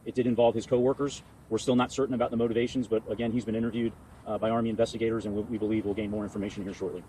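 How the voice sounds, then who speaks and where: An American man, an army general, giving formal, halting answers with repeated words at a press conference. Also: fairly low pitch, flat delivery, mellow, low-quality recording.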